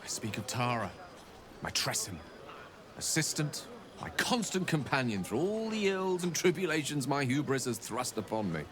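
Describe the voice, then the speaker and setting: An actor playing an eloquent wizard who reminisces wistfully in a video game. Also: male voice, medium pitch, sing-song, normal speed, background noise, close to an everyday voice. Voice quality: eloquent, theatrical.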